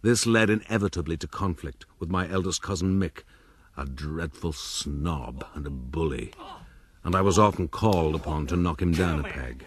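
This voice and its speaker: An actor playing a pompous, wry Irish gentleman rogue narrating his life in a radio drama. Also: rumbling low, normal speed, slightly rough. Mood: self-satisfied.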